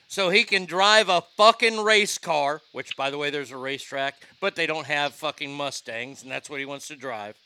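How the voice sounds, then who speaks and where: A man hosting a casual talk show, ranting in an animated, profane way. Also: fairly high pitch, clear, resonant tone, no echo. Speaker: host.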